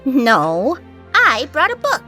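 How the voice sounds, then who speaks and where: Woman doing a nerdy young unicorn girl, high bright voice, eager and earnest. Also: slow, soft background music, full character voice. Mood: bookish. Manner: eager, expressive. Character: bookish young female unicorn, upbeat and earnest. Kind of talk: fan comic dub.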